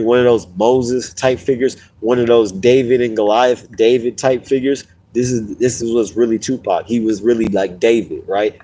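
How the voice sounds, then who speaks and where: A man hosts a casual livestream and speaks straight to his viewers. His voice is warm and mellow, and he is a little stuffy from a cold. He tells stories in loops, repeating and restarting phrases as he goes. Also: medium pitch, rough recording. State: getting over a cold, sniffling. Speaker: podcast host.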